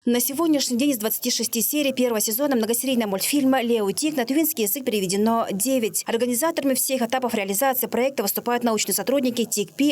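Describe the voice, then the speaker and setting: A high-pitched woman delivers scripted TV news narration very quickly. Her reporting is formal and informative, moving briskly from phrase to phrase. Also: darkish tone.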